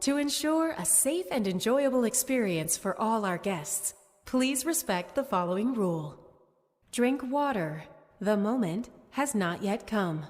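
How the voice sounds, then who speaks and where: A woman's voice: a little high, animated. A woman playing a serene, eerily soothing theme-park radio announcer in a game, reassuring and hypnotic in her scripted messages. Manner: slow, reassuring, repetitive, hypnotic. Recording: roomy echo under loud organ music. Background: loud organ music.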